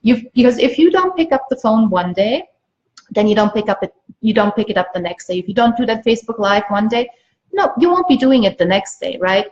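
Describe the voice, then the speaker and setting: A woman from Austria, a native German speaker, speaks as a business coach giving an emphatic, repetitive motivational pitch on a livestream. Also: sing-song, fairly quick, a little mellow. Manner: emphatic, repeats phrases for stress. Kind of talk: motivational live sales pitch to viewers. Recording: dull lo-fi livestream audio.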